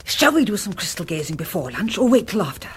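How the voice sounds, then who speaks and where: An actress playing a breezy, confident prima donna in a radio comedy, teasing and theatrical. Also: female voice, on the low side, very animated, quick pace, a little hiss or hum. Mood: breezy banter. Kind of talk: British radio comedy acting.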